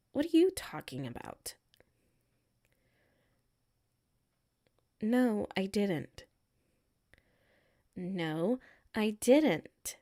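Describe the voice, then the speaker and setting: A woman's voice: medium pitch, warm tone. A woman playing a hurt, defensive tsundere coworker with a secret crush in a roleplay audio, exasperated and emphatic, dropping into sulky murmurs. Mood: exasperated, flustered. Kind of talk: roleplay audio acting, one side of a conversation.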